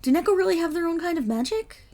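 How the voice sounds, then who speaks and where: A woman playing an excitable, affectionate, dim-witted young dog girl in a roleplay audio drama, bubbly, naive and eager. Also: very high voice, fast talker. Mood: excited, amazed.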